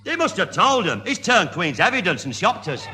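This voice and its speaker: An actor playing an excitable, easily outraged scheming neighbour in a 1970s radio sitcom, blustering and agitated. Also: male voice, sits high, moderate pace. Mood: indignant. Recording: noisy old radio recording, hall reverb.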